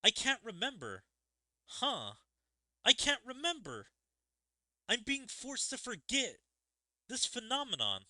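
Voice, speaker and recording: A villainous anime game witch, put on by a man, distressed and frustrated. The voice is pushed high and sharp, in halting, dramatic exclamations. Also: huge pitch swings, dry recording, full character voice. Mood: confused, strained. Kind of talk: dramatic read-aloud of game dialogue.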